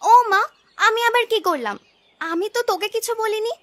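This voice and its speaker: A woman acts out a gentle young granddaughter in a cartoon folk tale. Her voice is very high and bright, and she reasons softly and dutifully in a pleading way. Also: expressive, full character voice. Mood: hurt, pleading. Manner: pleading, soft-spoken, quick. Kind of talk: animated folk tale acting.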